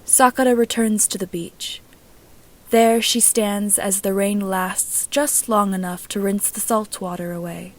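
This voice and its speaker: A woman's voice: mid-range voice, fairly even intonation, a little slow, poor audio. Woman narrating a story. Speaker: amateur podfic narrator reading own story.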